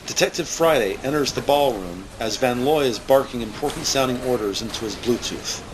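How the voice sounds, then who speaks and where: A man's voice: mid-range voice, brisk, background noise. A man reading scripted, present-tense narration for an audio drama as its narrator. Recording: music bed under the voice. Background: music.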